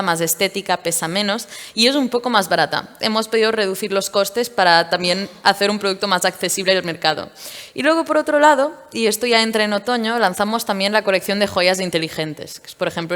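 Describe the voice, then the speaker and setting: Candid Portuguese woman founder in a reverberant hall. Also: medium pitch. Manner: candid, lively storytelling with fillers. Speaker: startup founder. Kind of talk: stage interview at a tech event. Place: event stage.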